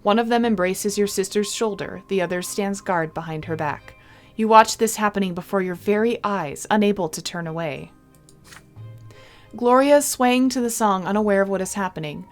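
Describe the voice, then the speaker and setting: Expressive woman reading a game aloud. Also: medium pitch, moderate pace. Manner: expressive storybook-style reading with playful asides. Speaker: video game streamer. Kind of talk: reading a visual novel aloud with casual playful commentary. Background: game music.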